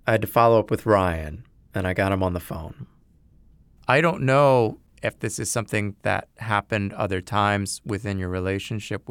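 A man hosting and narrating, asking earnest, probing questions in a measured, reflective way. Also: fairly low pitch, a bit bright, clear, resonant tone, no echo. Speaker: host and narrator. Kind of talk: reflective documentary narration and interviewing. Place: lives in a city neighborhood.

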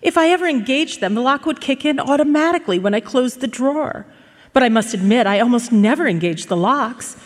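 Casting: female actor as fretful society matron, high and very lively voice, gracious, stage hall reverb. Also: a little fast. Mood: earnest, flustered. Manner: genteel, theatrical, gracious. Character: genteel, fretful lady of a wealthy house. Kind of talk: live radio drama acting. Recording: live concert hall with reverb.

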